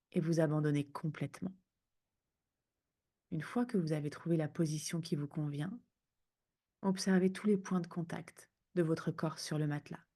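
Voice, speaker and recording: A woman guiding a sleep meditation in a soothing, hushed manner, speaking in unhurried phrases with pauses. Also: deep voice. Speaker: yoga nidra guide.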